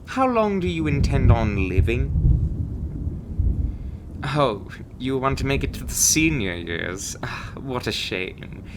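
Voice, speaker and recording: A man's voice: mid-range voice, very animated, takes it slowly, background noise. A man playing a chirpy, breezily menacing recruiter in an ASMR roleplay, glibly cheerful about grim things. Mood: glib, upbeat. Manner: cheerful, glib delivery of grim threats.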